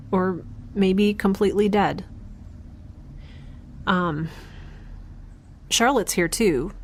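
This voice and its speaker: A woman acts out a weary young female scientist adrift in space, keeping an audio diary for a science fiction drama. She talks in a medium-pitched voice, hesitant and confiding, with a wry edge to her overwhelmed temper. A noticeable bed of ambience runs behind her. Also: a little hiss or hum, natural voice. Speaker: narrator and lead of an audio drama.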